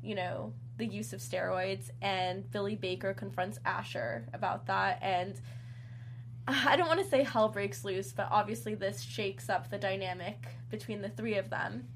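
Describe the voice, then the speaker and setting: A woman's voice: mid-range voice, normal speed, slight background noise. A woman from Texas hosting a talk show, asking long questions and recapping episodes in an upbeat way. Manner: upbeat, asks long questions, laughs easily. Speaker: host.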